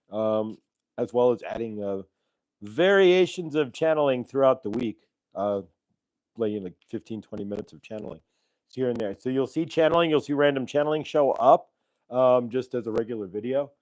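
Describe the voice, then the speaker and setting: Casting: middle-aged male host of a live stream, mid-pitched, loose and rambling, grateful tone, many "uh"s. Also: moderate pace, a little mellow, dry recording. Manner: rambling, thankful, many fillers and restarts. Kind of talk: casual live-stream chat. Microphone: clip-on transmitter mic.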